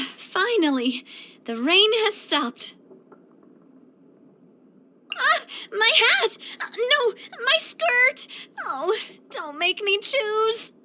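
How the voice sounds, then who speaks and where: A woman's voice: very high voice, a little slow, full character voice. An actress playing a spirited, proud and affectionate young woman boss in a video game, with a theatrical, bubbly delivery. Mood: dramatic, flustered. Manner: theatrical, expressive, bubbly, with playful grandiosity. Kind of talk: scripted video game character voice lines.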